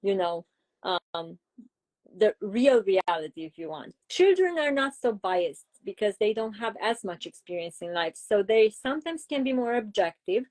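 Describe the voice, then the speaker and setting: A woman's voice: medium pitch, sing-song, normal speed, darkish tone. Romanian-accented woman explaining patiently. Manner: explanatory, uses examples, frequent fillers. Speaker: child psychologist guest. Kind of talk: interview conversation.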